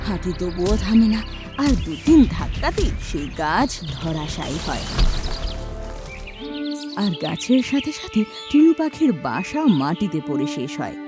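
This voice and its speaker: A woman narrating a children's cartoon story in an expressive storytelling way. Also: on the low side, unhurried. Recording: children's music behind.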